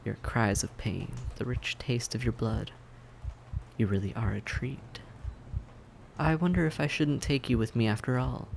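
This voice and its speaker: A man playing a suave, predatory vampire in an audio roleplay, speaking in deliberate, theatrical phrases with teasing condescension. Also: medium pitch, gravelly, slight background noise. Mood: gloating, seductive. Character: suave, predatory male vampire toying with his prey. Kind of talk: scripted roleplay audio drama monologue.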